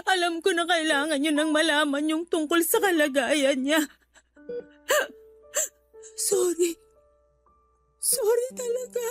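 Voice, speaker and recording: A woman's voice: very high-pitched, takes it slowly, long pauses, soft background music. A woman acting a tearful, guilt-ridden mother in a radio drama, her voice breaking with crying. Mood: tearful, remorseful.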